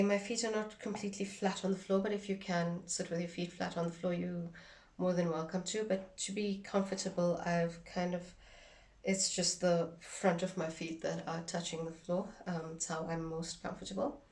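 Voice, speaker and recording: A woman giving an instructional demonstration in a measured, deliberate way, phrase by phrase. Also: lowish voice, steady tone, darkish tone, distant mic. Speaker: instructor demonstrating a tapping technique.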